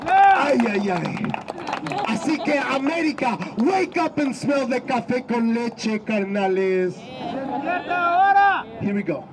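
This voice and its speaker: A man performs spoken-word poetry outdoors in a city, his voice pitched unusually high for a man. He declaims in bursts, often shouting, and sweeps between loud and soft, fast and slow. Steady traffic noise runs behind him. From Ecuador. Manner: declamatory, often shouting, dramatic swings in loudness and pace.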